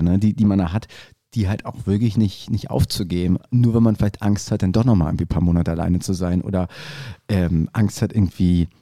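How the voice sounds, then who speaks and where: Young man, podcast co-host, low voice, dry close sound, rambling, cheeky. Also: mellow, dry recording. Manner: rambling, stammering restarts, cheeky jokes. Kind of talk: casual chat.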